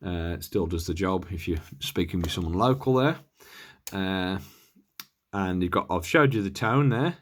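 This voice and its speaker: A man's voice: low-pitched, expressive, moderate pace. A man giving a casual hands-on gear demonstration in a hesitant, offhand, understated way. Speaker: presenter of a radio gear review.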